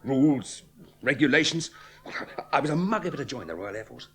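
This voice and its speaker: A man's voice: medium pitch, expressive, a little fast, a bit bright, slight background noise. An actor in a 1970s radio play, playing a swaggering, boastful male aviator. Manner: swaggering, sardonic.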